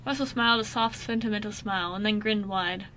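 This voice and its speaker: A woman's voice: mid-range voice, fairly even intonation, brisk, pushed and bright. A woman reading scripted narration for an amateur radio drama, with small stumbles and self-corrections. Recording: faint background noise.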